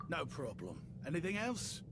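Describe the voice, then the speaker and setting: Easygoing, flattering game shopkeeper, light, high and lively. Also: male voice, normal speed, background noise. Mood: friendly. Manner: easygoing, smooth-talking. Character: friendly young male shopkeeper, smooth and flattering. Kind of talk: video game voice acting.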